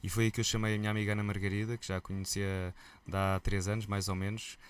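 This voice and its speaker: A man with a European Portuguese accent, a musician guest telling stories in a relaxed, colloquial interview chat. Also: lowish voice, fast, clean tone. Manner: lively storyteller, relaxed and colloquial.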